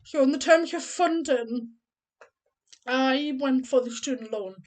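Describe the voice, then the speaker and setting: A woman in her thirties talks casually to camera in a slightly high voice. She is deaf, and her speech sounds a bit different because of it. She rambles through her explanations step by step, with plenty of ums along the way. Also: unhurried. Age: 30s. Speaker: vlogger.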